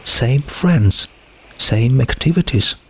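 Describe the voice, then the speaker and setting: Man voicing a troubled teenage girl, slow and halting. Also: mid-range voice, lively intonation, husky, voice pushed up, heavily acted. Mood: insistent, uneasy. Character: troubled, anxious teenage girl confiding a secret. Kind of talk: dramatic fan fiction reading.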